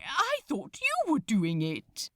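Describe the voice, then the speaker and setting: A woman acts a gentle, earnest angel for an audiobook. He sounds wide-eyed and proper, with a raised pitch and a very lively swing in his exclamations. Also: slow, dry recording, clean studio sound, exaggerated voice. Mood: astonished. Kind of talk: audiobook character voice.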